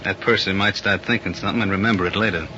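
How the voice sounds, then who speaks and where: An actor playing a smooth, ruthless gangster in a 1940s radio crime drama, scheming with his lover. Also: deep voice, fairly even intonation, quick pace, close to an everyday voice. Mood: confident, scheming. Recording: noisy, muffled old 1940s radio recording.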